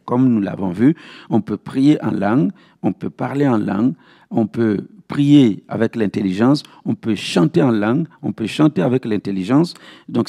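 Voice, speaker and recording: Male pastor for Bible study teaching; creaky, relaxed voice, didactic and repetitive for emphasis. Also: medium pitch. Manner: didactic, repeats key phrases for emphasis. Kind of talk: Bible teaching with verse citations.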